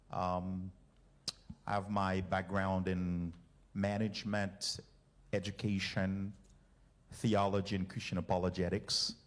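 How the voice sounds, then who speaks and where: A man, president of a ministry and an author, introducing himself on a church panel with a light joking touch. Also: lowish voice.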